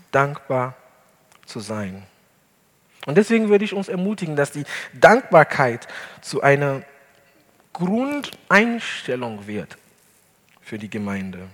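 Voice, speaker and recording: Slow-preaching male pastor, non-native German. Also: a little high, a bit bright. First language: not German. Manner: earnest, slow and deliberate preaching with emphatic stresses. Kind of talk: sermon. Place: church hall. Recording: long hall reverb.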